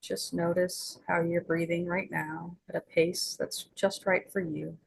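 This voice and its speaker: A woman leading a guided meditation as its guide, speaking in gentle, soothing invitations with long pauses. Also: fairly low pitch, flat delivery, gravelly, background noise.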